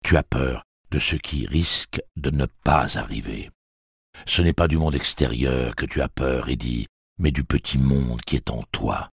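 A man reading the part of a stern, weathered older gunslinger, speaking slowly and coldly. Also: rumbling low, gravelly, slightly rough, no room sound, clean studio sound, exaggerated voice. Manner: slow, measured, cold.

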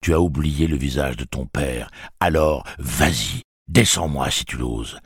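Guy reading a grim old gunslinger, super deep, slow flat threat in it. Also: breathy edge, no room sound, studio quality, heavily acted. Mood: cold. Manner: slow, measured, cold. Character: hard, weathered gunslinger, older man, stern.

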